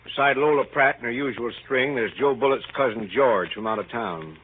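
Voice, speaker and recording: An actor playing a dry, droll narrator of household goings-on in a 1930s radio comedy drama. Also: male voice, medium pitch, a little fast, narrow, tinny audio, lightly acted. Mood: dry, wry. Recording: thin, faintly noisy 1930s radio recording.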